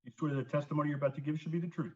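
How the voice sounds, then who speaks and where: A man handling procedure at a zoning hearing, brisk and matter-of-fact, swearing in witnesses. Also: a little high, fairly even intonation, a little mellow. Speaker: board attorney administering the oath. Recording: dull lo-fi audio from far off.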